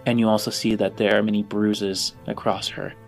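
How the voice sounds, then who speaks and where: A man narrating as game master of a sci-fi tabletop game, improvising descriptions in deliberate, drawn-out phrases. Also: on the low side, level tone, gravelly, soft background music.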